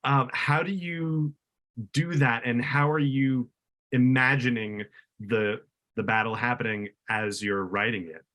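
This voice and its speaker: Male podcast host, average pitch, conversational interviewer delivery. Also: steady tone, unhurried, fairly bright tone, low-quality recording.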